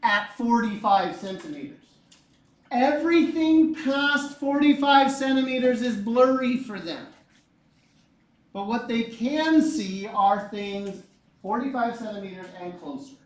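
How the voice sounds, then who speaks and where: A man in his forties teaching a physics class, lively and joking, repeating his key points. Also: extremely high pitch, a little slow. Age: 40s. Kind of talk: classroom lecture. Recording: echoey room, mic far off.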